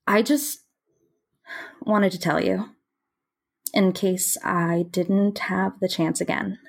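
Actress as a slow, wistful woman mapmaker. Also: medium pitch, steady tone, gravelly. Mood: earnest, hesitant. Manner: reflective, deliberate, with repeated words. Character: earnest, wistful woman mapmaker, full of feeling. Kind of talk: audio play acting.

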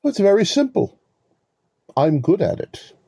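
Smug, pompous male swindler for an audio play, played by a man: very dark, husky tone, swooping intonation, wordy mock courtesy. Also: sits high, big pitch swings, measured pace, no room sound, heavily acted. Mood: smug, self-assured. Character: smug, verbose male con artist posing as police lieutenant. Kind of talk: two-person audio play acting.

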